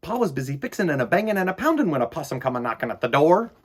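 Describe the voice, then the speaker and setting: Animated, husky male storytime host. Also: on the high side, racing. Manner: animated, playful, addresses young listeners directly. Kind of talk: chatty read-aloud for children.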